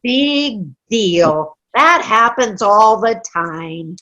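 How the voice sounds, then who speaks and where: Cranky old widow, bright and high-pitched woman acting. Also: lively intonation, drawn-out words, highs cut off, heavily acted. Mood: grumpy. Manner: grumbling, dismissive, blunt complaining. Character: cranky elderly widow, scornful, stubborn and naive. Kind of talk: amateur play reading. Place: online video-call play reading.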